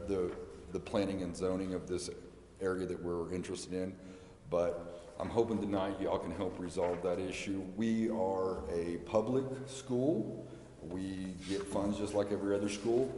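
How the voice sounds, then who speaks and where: American man, low dark voice, public presentation, echoing hall, faint noise. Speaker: charter school representative. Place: large indoor council chamber. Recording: echoey reverberant hall, background noise.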